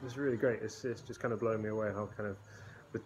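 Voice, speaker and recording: A man's voice: lowish voice, fast talker. Rambling man on a noisy phone video. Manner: rambling and relaxed, many fillers and restarts. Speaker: blacksmith vlogger. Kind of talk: casual phone video walkthrough. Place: indoor workshop with concrete walls. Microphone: phone held at arm's length. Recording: noisy workshop phone recording.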